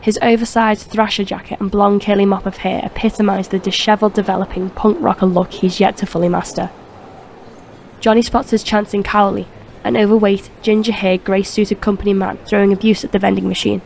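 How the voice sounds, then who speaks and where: A woman's voice: medium pitch, little pitch movement, brisk, creaky, a little mellow, slight background noise, poor audio. Woman narrator over faint ambience. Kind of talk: scripted narration of a crime audio drama.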